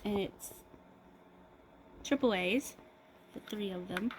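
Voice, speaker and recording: A woman giving a casual, improvised unboxing review, pausing mid-sentence in an appreciative, unhurried way. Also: mid-range voice. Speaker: product reviewer. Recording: clearly noisy background ambience.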